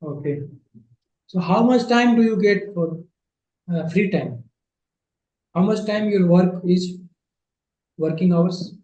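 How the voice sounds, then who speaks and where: Mellow man teaching over a video call. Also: sits high, reverberant, muffled recording. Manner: patient, repeats phrases slowly, non-native English. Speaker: Sanskrit teacher. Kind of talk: online grammar class.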